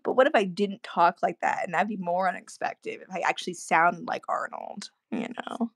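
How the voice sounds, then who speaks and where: A woman with a gravelly voice chatting casually and joking while drawing at home. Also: lowish voice, normal speed, dry recording, clean studio sound. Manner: joking, playful, laughs often. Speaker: artist co-host.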